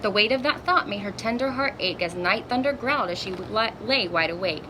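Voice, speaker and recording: A woman reading a children's picture book aloud in an expressive storytelling manner, with little asides to young listeners. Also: mid-range voice, fairly quick, noisy background. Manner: expressive, acts out exclamations and dialogue.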